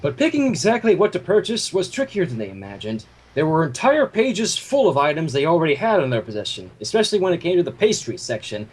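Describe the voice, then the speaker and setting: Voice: medium pitch, very animated, quick pace, hardly any pauses, a little mellow, husky. A person reading a fan story aloud and breaking off into casual commentary. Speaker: fan fiction reader.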